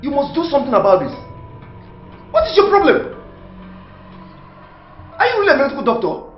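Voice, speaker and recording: A man's voice: mid-range voice, quick pace, lightly acted. A man playing an exasperated, demanding character in a film drama, questioning in stammered disbelief. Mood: furious, incredulous. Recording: muffled, echoey room with faint music.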